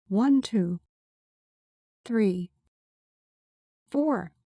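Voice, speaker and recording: A woman narrating a scripted guided meditation and affirmations in a calm, soothing, deliberate way. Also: mid-range voice, no echo, clean studio sound.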